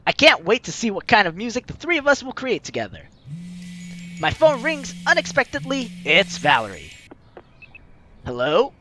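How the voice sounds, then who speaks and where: A man hosting a game playthrough, reading visual novel lines aloud in an expressive, playful, theatrical way. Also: high-pitched, moderate pace, pauses often.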